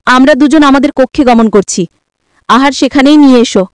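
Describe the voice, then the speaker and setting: A woman voicing a domineering, quick-tempered older father in a story, commanding and indignant. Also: sits high, no echo, lightly acted.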